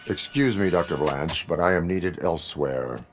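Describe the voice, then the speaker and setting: An actor playing a stern male cyborg police officer in a game cutscene, with a flat, clipped, mechanical delivery. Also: low voice, moderate pace, slight background noise, lightly acted. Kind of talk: video game cutscene acting.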